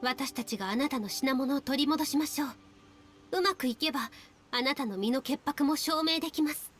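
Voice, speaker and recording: A woman's voice: high voice, close to an everyday voice. A woman voicing a poised, courteous young female military leader in an anime game, speaking Japanese formally and with authority. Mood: grave, resolute. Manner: formal, polite, measured phrasing. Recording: game dialogue over background music.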